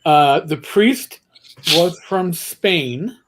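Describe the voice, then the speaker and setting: A man's voice: a little high, expressive, extremely slow, noisy background. A middle-aged man chatting casually as a livestream host, telling stories in a rambling way.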